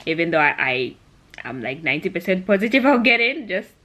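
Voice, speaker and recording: A woman's voice: on the low side, very animated, a little fast, a bit bright. A young woman from Zambia talking casually to camera like a vlogger, in a chatty, rambling way that often doubles back on itself. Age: young adult. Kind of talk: casual vlog chat to camera.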